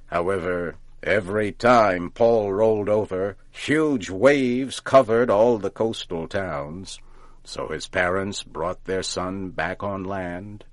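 A man narrating a folk tale from a script, deliberately and with careful enunciation, as if for learners. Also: sits low, no room sound. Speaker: storyteller, narrator.

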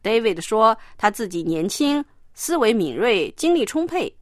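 A woman hosting a language lesson, narrating and explaining phrases clearly. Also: fairly high pitch, sing-song, normal speed, dry recording, clean studio sound. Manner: clear, explanatory teaching delivery.

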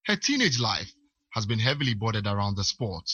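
A man narrating a sports news report in a measured way. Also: fairly low pitch, sing-song, pushed and bright, breathy edge, dry recording.

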